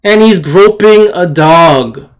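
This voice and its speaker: This is an opinionated video commentator speaking off the cuff at a slow pace. The delivery is halting and emphatic, often circling back to repeat a point. The tone is blunt, indignant and disdainful. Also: mid-range voice.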